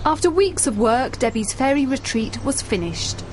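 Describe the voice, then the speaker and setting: A woman reporter reading a scripted regional news piece in a light, measured way. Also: high-pitched, animated. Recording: music bed under voice-over.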